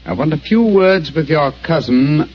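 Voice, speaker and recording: An actor playing a brilliant, composed detective, speaking in a measured, precise and authoritative way in a 1940s radio play. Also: male voice, on the high side, lively intonation, very noisy, natural voice. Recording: muffled old radio broadcast.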